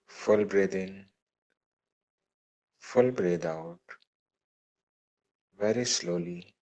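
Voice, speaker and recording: A man's voice: low-pitched, extremely slow, dark tone, muffled recording. A man leading a guided meditation, giving simple instructions and repeated affirmations as a meditation guide. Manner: instructing, repeating set phrases. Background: music.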